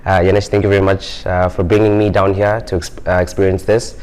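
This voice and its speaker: A man's voice: deep voice, little pitch movement, brisk, darkish tone, smooth. A man hosting a podcast, asking a guest friendly questions with some hesitant fillers. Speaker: podcast host. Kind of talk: casual interview questions.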